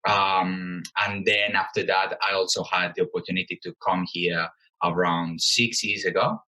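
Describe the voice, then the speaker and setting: A man's voice: low voice, steady tone, moderate pace, sharp tone, poor audio. A man in his thirties from Colombia, whose first language is Spanish, answering interview questions thoughtfully, with frequent fillers and restarts. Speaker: guest, company co-founder.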